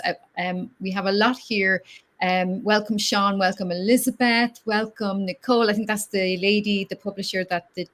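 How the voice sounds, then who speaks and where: A middle-aged woman hosts a live online chat and greets viewers by name in a chatty, friendly way. Her voice sits at an ordinary pitch for a woman. She speaks through a video call microphone. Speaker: host. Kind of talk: hosting a LinkedIn live chat.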